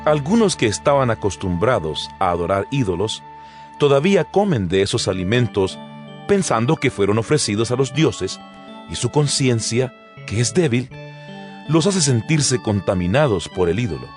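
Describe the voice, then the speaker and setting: An actor playing an earnest apostle addressing his congregation in a measured, emphatic, didactic dramatized scripture reading. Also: mid-range voice, big pitch swings, lightly acted. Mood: earnest, instructive. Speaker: narrator of an audio Bible. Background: background music.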